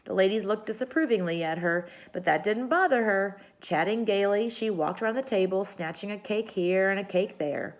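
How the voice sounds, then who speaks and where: A woman reading a children's story aloud with expressive narration. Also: mid-range voice, normal speed, few pauses, poor audio. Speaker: storybook reader.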